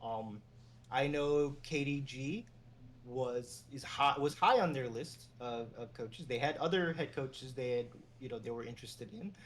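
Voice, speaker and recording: A man from New York City, a former team general manager and agent, talking as a guest in a relaxed, meandering way with the odd chuckle. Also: mid-range voice, unhurried, a little hiss or hum. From New York City, USA. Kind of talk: casual interview conversation.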